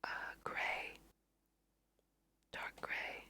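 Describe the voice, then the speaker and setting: A woman softly whispering ASMR game commentary, thinking aloud in an unhurried way as she guides listeners through her play. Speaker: let's play host.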